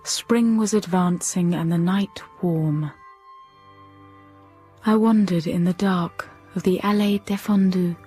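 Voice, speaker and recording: A reserved, intense young schoolteacher in a literary audio drama. She speaks formally and quietly at a middling pitch, with a melancholy undertow. Faint music can sit behind her. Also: husky. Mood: wistful. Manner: formal, measured, hushed diction. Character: Lucy Snowe, reserved, melancholy, intense young woman teacher. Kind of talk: period literary audio drama acting and narration.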